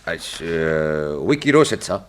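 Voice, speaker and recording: An obliging, rustic parcels clerk in a 1960s French radio drama, recorded in a roomy echo. He is chatty and easily flustered, hesitating and muttering with a very lively pitch. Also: a little slow, full character voice. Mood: hesitant, helpful. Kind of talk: 1960s French radio detective serial acting.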